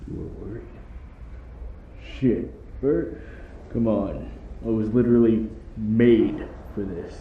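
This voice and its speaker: An actor as a cocky, loyal young soldier with a lowish voice, in an audio drama. The sound is dull and roomy. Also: male voice, takes it slowly, long pauses. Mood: confident, easygoing. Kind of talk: anime fan audio drama acting. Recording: echoey, dull, distant, faint noise.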